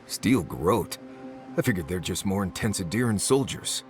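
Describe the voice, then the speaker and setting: A man's voice: sits low, lively intonation, moderate pace, faint music behind. A man playing an earnest, uneasy travelling companion in a fantasy video game, frank and casual in delivery. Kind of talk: naturalistic game voice acting.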